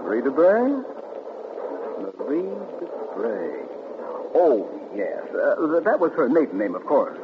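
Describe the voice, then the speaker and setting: An actor playing a wry middle-aged doctor who relishes grim details, in 1940s radio acting style. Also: male voice, medium pitch, huge pitch swings, takes it slowly. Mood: relishing. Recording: tinny noisy 1940s radio broadcast.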